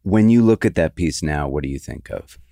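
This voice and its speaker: A man's voice: a bass voice, lively intonation, warm tone, no echo, clean studio sound. A man interviewing an artist, asking careful questions and framing them at length. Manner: measured, attentive, frames questions at length.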